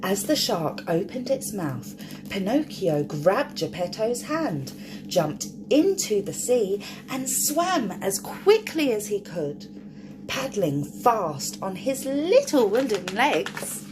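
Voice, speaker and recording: Lady reading kids a picture book, super lively up and down voice, slow, noisy background. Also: medium pitch. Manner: addresses young listeners, draws out key words. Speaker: children's storyteller. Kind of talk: expressive picture-book read-aloud for children.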